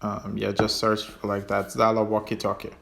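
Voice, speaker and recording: A man giving a casual, unscripted app walkthrough as a tutorial host, in a hesitant, halting way with trailing phrases. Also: lowish voice, monotone, gravelly, fairly bright tone.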